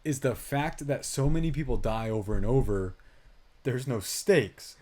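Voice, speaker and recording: A man chats casually as a podcast film reviewer. His voice sits at a medium pitch. He talks in loose slang and tells things in an animated way, slipping into quoted reactions as asides. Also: measured pace.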